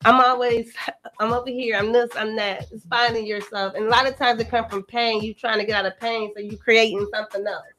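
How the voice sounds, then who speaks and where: High-voiced, emphatic woman chatting. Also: fairly quick. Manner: animated, emphatic, repeating herself for emphasis. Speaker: stage actress and guest. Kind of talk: casual heartfelt chat.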